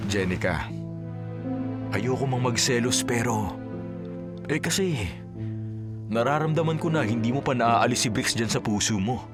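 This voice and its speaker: An actor with a Filipino accent playing a jealous, wounded and devoted boyfriend in a radio drama. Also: male voice, medium pitch, expressive, measured pace, long pauses, music in the background, close to an everyday voice. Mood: hurt, jealous.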